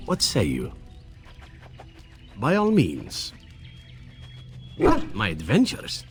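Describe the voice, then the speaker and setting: Suave, lilting rogue assassin. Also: male voice, fairly low pitch. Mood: playful. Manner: silky, theatrical, teasing, with tag questions. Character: suave, roguish male elven assassin, playful and flirtatious. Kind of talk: video game character acting. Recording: faint music behind the voice. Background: faint background music.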